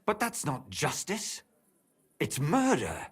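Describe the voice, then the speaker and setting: A principled, troubled man in a medieval video game. His voice is high and raspy, with very wide swings in pitch. He reasons and pleads with urgent earnestness. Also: huge pitch swings, slow, fairly bright tone, exaggerated voice. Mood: earnest pleading. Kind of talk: dramatic video game acting.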